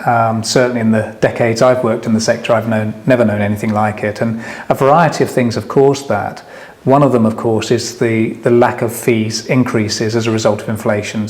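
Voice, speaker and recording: Earnest middle-aged man, low voice. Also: darkish tone. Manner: earnest, deliberate, with hesitations. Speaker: representative of a university body. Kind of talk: interview comment.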